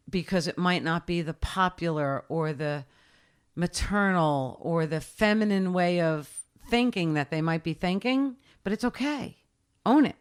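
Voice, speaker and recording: A woman's voice: on the low side, clear, resonant tone. Direct, emphatic woman in her sixties. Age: 60s. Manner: emphatic and direct, repeats short punchy advice. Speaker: interview guest. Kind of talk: casual interview.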